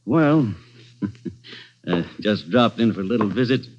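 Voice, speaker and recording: A bitter man just out of prison, sullen and sarcastic. His voice sits a little low. The old radio recording sounds dull and muffled. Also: lively intonation, takes it slowly, a little hiss or hum. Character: bitter ex-convict man, sour and resentful. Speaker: released robber visiting detectives. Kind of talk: 1940s radio acting.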